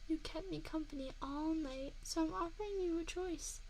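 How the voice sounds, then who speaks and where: A woman's voice: extremely high pitch, moderate pace, a little mellow. A woman voicing a seductive, menacing female vampire in an intimate ASMR roleplay, mocking and taunting a single listener up close. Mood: threatening, commanding. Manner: teasing, taunting, intimate address to one listener. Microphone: close binaural 3Dio microphone. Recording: faint background noise, close binaural mic.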